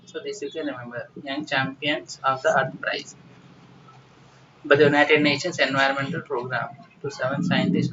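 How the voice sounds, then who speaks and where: An Indian-accented man teaches in an informal, instructive way, circling back to repeat his points. His voice sits slightly high. The recording is muffled and a little far from the mic, with faint noise. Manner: repetitive, instructive, with "you know" tags. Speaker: exam-prep teacher. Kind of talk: informal explanatory current affairs lecture.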